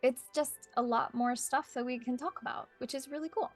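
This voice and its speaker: A woman's voice: sits high, steady tone, a little fast, faint music behind. Bubbly young woman podcast host. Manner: bubbly, laughs often. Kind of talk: casual interview chat.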